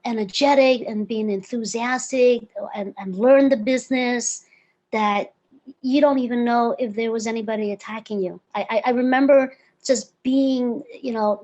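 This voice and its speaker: Interview guest: enthusiastic woman in her fifties, raised in the US, with a slightly high voice. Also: fairly even intonation, moderate pace, a little mellow, muffled recording. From born in Hong Kong, raised in the United States. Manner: eager, enthusiastic storyteller. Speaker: guest, real estate broker. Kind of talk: conversational interview.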